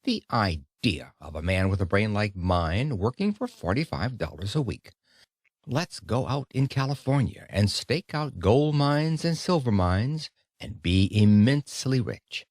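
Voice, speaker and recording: A man reading an audiobook of a speech in an expressive storytelling manner, with dramatic pauses. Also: fairly low pitch, no room sound, clean studio sound. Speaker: audiobook narrator. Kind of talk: scripted audiobook narration of a speech.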